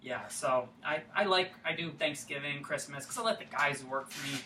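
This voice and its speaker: A man's voice: a little high, normal speed, natural voice. A man in a staged reading playing a lonely, awkward small-town repairman, rambling and nervously repeating himself. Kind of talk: staged play reading, naturalistic dialogue. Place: indoor room at a staged reading. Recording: echoey room, distant mic, faint noise.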